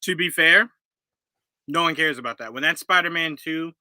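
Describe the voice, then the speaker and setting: Bright-voiced joking man as co-host. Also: fairly high pitch, normal speed, dry recording, clean studio sound. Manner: joking, laughs often, teasing. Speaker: co-host guest. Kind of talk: casual live reaction chat.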